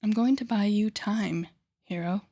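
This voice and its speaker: A woman playing a haughty, sardonic telepathic villain with a hidden soft side, speaking straight to the listener in an audio roleplay. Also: medium pitch. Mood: smug, flirtatious. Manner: sardonic, theatrical, teasing, with sighs and deliberate pauses. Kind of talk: intimate audio roleplay acting addressed to the listener.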